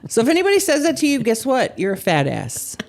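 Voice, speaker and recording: A woman hosting a podcast, chatting candidly and with animation, her loudness swinging up and down. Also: fairly high pitch, fast talker, slight background noise. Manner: candid, animated, swings between loud and soft. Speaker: podcast host. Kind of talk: casual chat.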